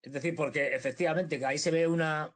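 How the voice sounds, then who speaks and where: A man with a fairly high voice talks in a live political discussion. His speech is conversational and sits quietly in the recording, as an original track under dubbing. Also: fairly even intonation, racing.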